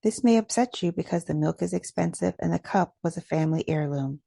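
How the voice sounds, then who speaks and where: A woman leading a guided meditation in a gentle, measured, instructional way. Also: lowish voice, creaky, slightly rough, dry recording.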